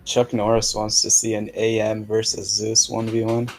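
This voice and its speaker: A man's voice: lowish voice, completely flat delivery, moderate pace. A man chatting on a gaming stream, dry and teasing as he relays chat questions.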